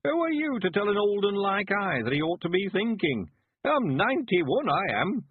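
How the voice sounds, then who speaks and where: A man voicing a cheerful, frail 91-year-old man, quavering and slow, rustic and stubborn. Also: high-pitched, very animated, husky, no echo, pitched above the performer's own voice, full character voice. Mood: cheery. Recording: phone-like lo-fi sound.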